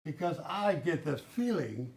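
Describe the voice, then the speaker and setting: A zealous corporate middle manager played by a man speaks in a high male voice. He is pompous and condescending, lecturing in grand phrases as in a satirical stage play. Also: big pitch swings, a little slow, gravelly, heavily acted, low-quality recording. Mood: condescending, sinister.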